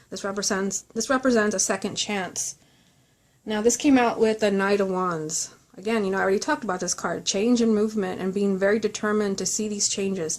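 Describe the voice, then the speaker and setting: A woman's voice: mid-range voice, a little mellow. A woman reading tarot cards in an informal, reflective way, speaking earnestly and directly to the listener. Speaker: tarot reader.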